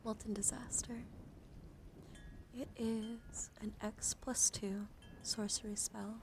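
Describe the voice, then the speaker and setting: A soft-spoken woman live streamer doing a casual ASMR card show-and-tell, chatting and often dropping into a whisper. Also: a little high, little pitch movement, takes it slowly, background noise.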